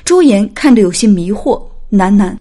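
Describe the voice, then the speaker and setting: A woman's voice: mid-range voice, lively intonation, moderate pace, mellow. A woman reading a dramatic scripted story as an audiobook narrator.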